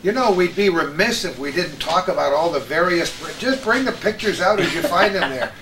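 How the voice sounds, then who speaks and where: Male host in his sixties, higher-pitched, casual reminiscing, recorded off-mic in a roomy space. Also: lively intonation, normal speed. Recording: roomy, distant mics, faint noise.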